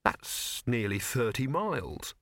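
A man's voice: mid-range voice, sing-song, takes it slowly, a bit bright, no echo, studio quality. A man voicing an earnest, awkward young man, polite, hesitant and curious, in a storybook reading.

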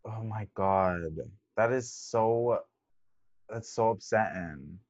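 A man's voice: on the low side, a little mellow, no room sound, highs cut off. A man co-hosting a podcast, chatting casually and joking playfully. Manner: joking, playful, quick asides. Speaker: podcast co-host. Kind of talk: casual chat.

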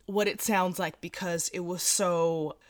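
A woman in her thirties hosting a podcast, talking casually and brightly with fillers. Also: lowish voice, fairly even intonation, measured pace, no room sound. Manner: bubbly, chatty, with laughs and fillers. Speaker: podcast host. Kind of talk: casual interview chat.

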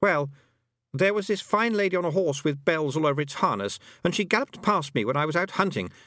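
A man voicing a haughty yet anxious twelve-year-old lost boy in a storybook, emphatic and excitable. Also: on the high side, a little fast, dry recording, clean studio sound, voice pushed up. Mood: uneasy, recounting.

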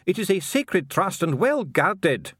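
A man's voice: high voice, sing-song, unhurried, fairly bright tone, breathy edge, no room sound, clean studio sound, pitched above the performer's own voice, exaggerated voice. A man voicing an officious, evasive dwarf official in formal, clipped, protesting speech for an audiobook. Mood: indignant.

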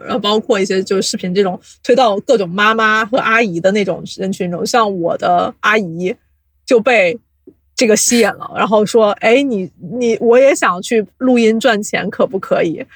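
Female podcast guest, casual and explanatory, giggly, mid-pitched, recorded dry. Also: moderate pace, no room sound. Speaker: podcast guest, audio drama producer. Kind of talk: casual chat.

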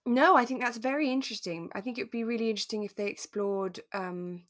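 A woman in relaxed podcast conversation, musing and thinking aloud as a co-host discussing a drama. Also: on the high side, quick pace, dry recording, clean studio sound.

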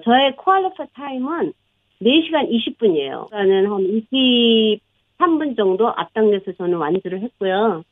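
Sixties woman, radio guest on a phone line, earnest and explanatory, fairly high and dry. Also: no room sound. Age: 60s. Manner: earnest, explanatory, with frequent fillers. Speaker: interview guest, marathon runner. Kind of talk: radio interview.

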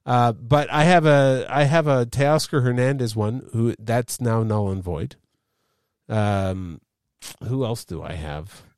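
A man's voice: medium pitch, takes it slowly, clean tone, no echo, studio quality. A middle-aged man hosting a casual sports chat, rambling as he tells stories. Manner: animated, rambling storyteller. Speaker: podcast host.

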